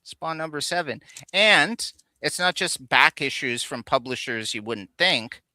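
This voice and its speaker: Very bright, forceful man chatting. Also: fairly high pitch, expressive, measured pace, no echo. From Canada. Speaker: co-host of a geek culture livestream. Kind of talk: casual chat, showing off finds.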